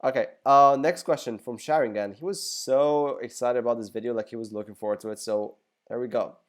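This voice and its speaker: A young man whose native tongue is Arabic, hosting a relaxed Q&A for his gaming channel. He rambles along at a mid pitch, tossing in loose jokes and asides as he answers each question. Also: a little fast. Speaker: gaming YouTuber. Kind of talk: casual Q&A video.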